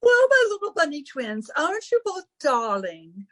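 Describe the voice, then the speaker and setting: A woman's voice: high-pitched, big pitch swings, moderate pace, fairly bright tone, no echo, studio quality. A woman playing a vain, flirtatious wealthy society hostess, gushing and affected, in 1940s radio style. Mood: coy, gushing. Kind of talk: recreated 1940s radio comedy-drama acting.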